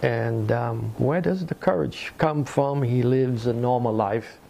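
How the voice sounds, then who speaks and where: An older man preaching a sermon from a lectern in a small room, slow and deliberate with pauses. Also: medium pitch, gravelly, mellow, slight background noise. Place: small indoor room by a fireplace mantel.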